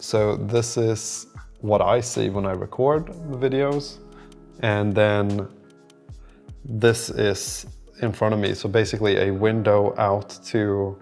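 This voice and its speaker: A man's voice: fairly low pitch, steady tone, slow, vocal fry, a little mellow, soft background music. A man hosting a video diary, chatting casually in an informal, rambling and hesitant way. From lives in southern Sweden. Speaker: host of a startup-building video diary. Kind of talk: casual vlog chat. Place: indoor room beside a window.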